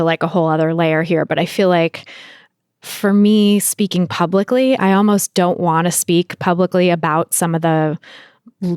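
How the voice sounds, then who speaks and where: A woman with a fairly low, smooth voice hosts an interview podcast. She talks conversationally and reflectively, in a dry recording with no room sound. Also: no echo.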